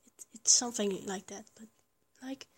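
A woman's voice: a little high, normal speed. A woman voicing a small, affectionate young lover who whispers, stammers and rambles between giggles. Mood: tender. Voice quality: breathy whisper. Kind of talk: whispered intimate roleplay audio.

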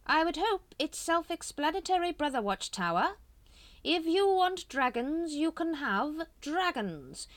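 A woman voicing a scheming, smooth-talking male cult leader who draws out his words to steer his followers. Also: high voice, big pitch swings, dry recording, pitched above the performer's own voice. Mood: coaxing. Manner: silky, manipulative, drawn-out phrasing.

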